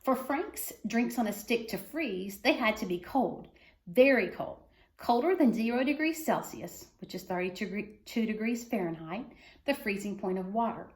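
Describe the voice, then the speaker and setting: Female storyteller for a kids' picture book read-aloud, fairly high voice, expressive phrasing, echoey room. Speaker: reader of a children's book.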